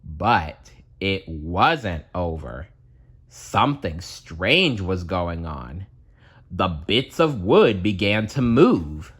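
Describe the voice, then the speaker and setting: A man narrating a children's storybook aloud in a dramatic, deliberate storytelling manner. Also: low voice, sing-song, fairly bright tone, slightly rough.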